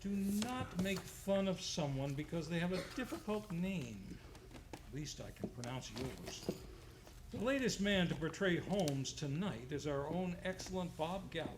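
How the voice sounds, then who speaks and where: Theatrical male radio host, noisy live recording. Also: a little high. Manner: theatrical announcing, playfully comic. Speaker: series host and announcer. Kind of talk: live old-time radio show introduction, scripted. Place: theater stage before a live audience.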